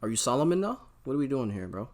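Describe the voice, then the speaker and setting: A man hosting a reaction video, teasing and joking in rapid-fire banter. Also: medium pitch, very animated. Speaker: reaction host.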